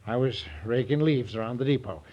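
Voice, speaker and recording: A man's voice: mid-range voice, fairly quick, lo-fi sound, close to an everyday voice. An actor playing a meek, simple-hearted drifter in a 1940s radio drama, stammering and folksy as he gets flustered. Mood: sheepish explaining. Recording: vintage 1940s radio broadcast.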